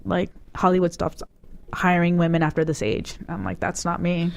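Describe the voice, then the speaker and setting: A woman's voice: on the low side, normal speed, vocal fry, slight background noise. A woman in her forties, hosting a podcast, chatting casually and telling stories with lots of "like" and restarts. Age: 40s. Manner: chatty, animated storytelling with many likes and restarts. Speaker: podcast host. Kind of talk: casual chat.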